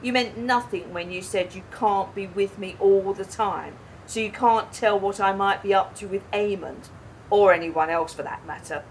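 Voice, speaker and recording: A woman's voice: medium pitch, moderate pace, a little hiss or hum, lightly acted, rough recording. A woman playing an indignant, confrontational young wife in a radio play, speaking emphatically. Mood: accusing. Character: angry, indignant young wife in a roadside quarrel.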